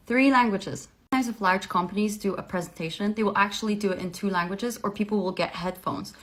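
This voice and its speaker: A woman calmly explaining things to camera, with emphatic repetition. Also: mid-range voice, quick pace. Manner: clear, methodical, emphatic. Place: Switzerland.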